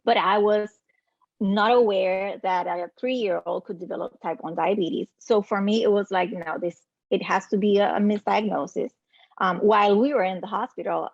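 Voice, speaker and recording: A woman's voice: medium pitch, dry recording. Middle-aged woman guest with a Puerto Rican accent, earnest storytelling on a lo-fi, dry video call line. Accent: Puerto Rican Spanish. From Puerto Rico. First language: Spanish. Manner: earnest, measured, frequent ums. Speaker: guest, nonprofit program manager. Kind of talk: interview storytelling.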